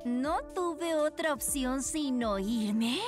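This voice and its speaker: A dreamy, theatrical teenage cartoon girl, speaking very high in a Latin American accent. Faint music plays under her dramatic delivery. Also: lively intonation, slow pace. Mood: playful, dreamy. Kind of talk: dubbed children's cartoon acting. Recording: light music behind the voice.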